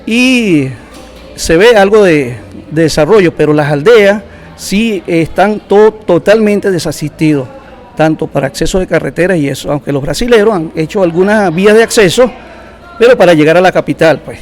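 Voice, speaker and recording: A man from the Rupununi region of the Essequibo answering as an interview guest, in a hesitant, halting and informal way. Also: high-pitched. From Rupununi region of the Essequibo, Guyana.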